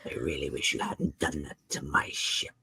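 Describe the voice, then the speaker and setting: A man voicing a goblin sky pirate captain in a tabletop game, politely menacing, with a hushed, drawn-out delivery. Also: a bass voice, fairly even intonation, gravelly, slightly rough, pitched below the performer's own voice, heavily acted. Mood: quietly menacing. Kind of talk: in-character tabletop roleplay.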